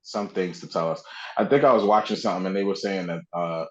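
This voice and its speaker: Low-voiced guy on a gaming podcast, super casual, says like a lot, kinda muffled lo-fi sound. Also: fast talker. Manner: informal, conversational, frequent "like". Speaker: podcast co-host. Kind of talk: casual video game discussion.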